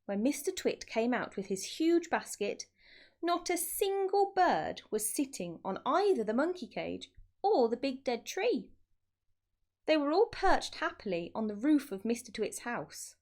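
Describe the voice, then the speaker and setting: A woman reading a children's story as narrator, in an expressive scripted style. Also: on the high side. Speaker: storybook reader.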